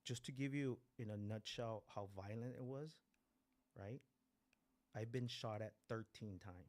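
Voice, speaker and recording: A man in his forties with a fairly low voice shares a candid personal testimony. He talks bluntly, swears freely and repeats key phrases to drive them home. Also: measured pace, darkish tone. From Mexico, raised in East Los Angeles. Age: 40s. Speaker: guest speaker at a recovery meeting.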